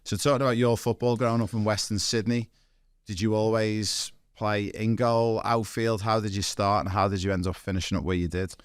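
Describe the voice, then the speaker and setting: A man's voice: on the low side, steady tone, brisk, clear, resonant tone, no room sound, studio quality. A man hosting a podcast interview, chatty and enthusiastic, introducing his guest and asking questions. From Europe. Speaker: podcast host.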